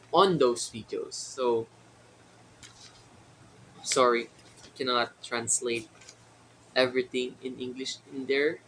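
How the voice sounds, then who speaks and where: A young man with a Filipino accent talks slowly to his viewers, stopping often and filling the gaps with ums. His voice is bright and forward. A faint hiss sits under the recording. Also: medium pitch, poor audio. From Philippines. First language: Tagalog. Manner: hesitant, many pauses and fillers. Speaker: vlogger. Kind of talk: casual solo talk to camera.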